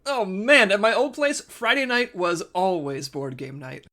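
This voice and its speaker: Eager, boyish, high-voiced newcomer. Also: male voice, animated, a little slow, bright, forceful tone, heavily acted. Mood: excited. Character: eager, boyish newcomer, lonely for old friends. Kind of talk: comedic audio drama acting.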